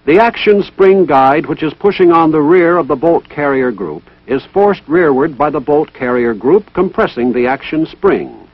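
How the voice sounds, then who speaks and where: A man's voice: fairly high pitch, lively intonation, moderate pace, hardly any pauses, breathy edge, no room sound. Formal man narrating, dull vintage film sound. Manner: formal, methodical. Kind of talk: scripted instructional narration.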